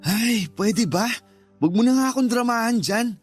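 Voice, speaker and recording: A man's voice: very high-pitched, lively intonation, moderate pace, faint music behind, pitched above the performer's own voice. A man playing a callous, irritable husband in a Tagalog radio drama, curt and dismissive. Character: callous, irritable husband who resents his stepchild.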